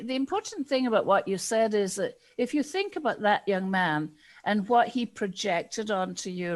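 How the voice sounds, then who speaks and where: A woman with a Scottish accent, a guest expert in an interview, explaining ideas in a measured way and acting out the inner questions of her examples. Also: medium pitch. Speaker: guest expert on diversity and inclusion.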